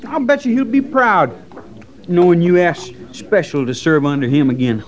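A man's voice: high-pitched, normal speed, lo-fi sound, close to an everyday voice. An American man acting an earnest, loyal, plain-spoken frontier soldier in a 1950s radio western. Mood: earnest, admiring.

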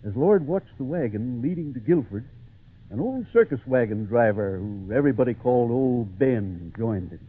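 A man's voice: mid-range voice, animated, normal speed, slightly rough. An actor playing a fatherly storyteller narrating a tale to a boy in an old radio drama. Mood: fatherly, storytelling. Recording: noisy, tinny old radio broadcast.

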